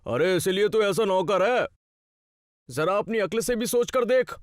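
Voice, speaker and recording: A man playing an arrogant, greedy shop owner who scolds and bosses people in an animated story. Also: sits high, measured pace, no room sound, studio quality. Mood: scolding. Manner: bossy, scolding. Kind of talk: animated moral story dialogue in Hindi. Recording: music behind the voice. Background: background music.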